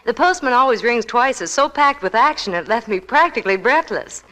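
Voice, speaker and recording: A woman's voice: fairly high pitch, expressive, fairly quick, pushed and bright, poor audio. A woman reporter giving gushing, scripted 1940s radio advertising chat. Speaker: Hollywood reporter and product pitchwoman.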